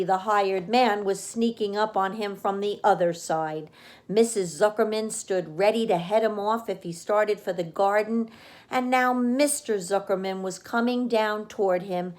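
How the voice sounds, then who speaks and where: A woman narrating a children's storybook as a read-aloud reader, with expressive delivery. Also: mid-range voice.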